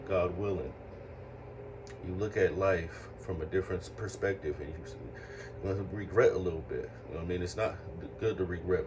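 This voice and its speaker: A man's voice: low voice, recorded from a distance, background noise. A man in his late thirties speaking in an earnest, reflective monologue, often circling back over his words with repeated phrases. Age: 30s. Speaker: speaker sharing life lessons.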